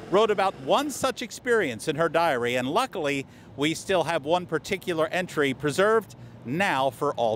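A man's voice: a little high, a bit bright. A man in his fifties presenting a scripted local news piece to camera outdoors, speaking into a handheld microphone. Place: outdoors on a lawn in a town. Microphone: handheld reporter microphone. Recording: faint outdoor street noise.

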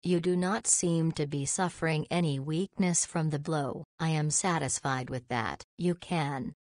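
Low, slow, reserved woman doctor acted by a woman. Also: darkish tone, no echo. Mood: clinical, reassuring. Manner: formal, precise, measured. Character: reserved, polite young female doctor, easily embarrassed. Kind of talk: visual novel voice acting.

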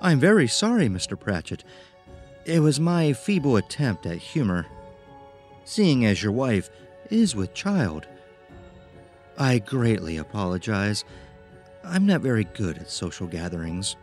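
An actor playing a small, eccentric, socially awkward man who speaks in a formal, halting, ingratiating way in a horror audio drama. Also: on the low side, big pitch swings, darkish tone, soft background music. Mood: apologetic, pleading.

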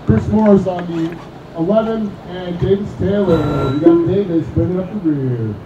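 A man with a loud voice calling driver lineups and lap counts over the PA at an outdoor race track. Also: sits high, normal speed, highs cut off. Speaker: race announcer. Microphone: PA loudspeaker. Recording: echoey PA heard from afar, noisy.

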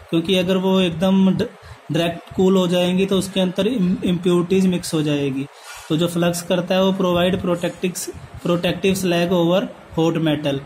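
A man with an Indian accent teaches like a lecturer going through notes. His voice is high and stays very flat, and he rephrases as he goes. There is a light but steady noise behind him. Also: poor audio. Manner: switches between Hindi and English terms; repeats and rephrases points. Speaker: polytechnic lecturer. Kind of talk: lecture read from handwritten notes, explained step by step.

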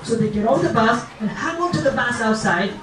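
A young man from Japan with a Japanese accent performs a stand-up comedy routine in halting, simple phrases. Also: very high-pitched, expressive, crowd noise. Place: small comedy club stage, indoors. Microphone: handheld mic, picked up by far camera. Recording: echoey club, distant, audience noise. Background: audience laughter.

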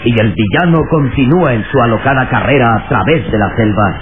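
An actor as the grave storyteller, giving dramatic scripted narration for a radio adventure serial. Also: male voice, medium pitch, brisk, music in the background, close to an everyday voice. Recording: tinny old radio recording.

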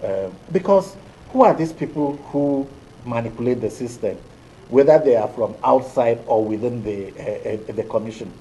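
A middle-aged Nigerian man, an electoral official, answering interview questions in a TV studio in a deliberate, hesitant way with many fillers. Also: medium pitch, lively intonation, dark tone, a little hiss or hum, rough recording. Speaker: electoral commission official, guest.

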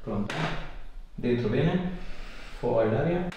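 A man's voice: mid-range voice, lively intonation, slow, muffled recording. A man in his thirties working as a chiropractor, giving a patient reassuring, short instructions and questions during treatment. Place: small clinic treatment room, indoors. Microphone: camera a few steps away. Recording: roomy, distant-miked, faint noise.

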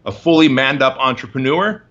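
Man, forties, interview guest, emphatic and punchy, forceful bright voice, video call, slightly muffled audio. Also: medium pitch, moderate pace. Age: 40s. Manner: emphatic, punchy motivational delivery. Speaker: guest entrepreneur. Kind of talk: interview storytelling. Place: office with bookshelves, indoors. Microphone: video call webcam.

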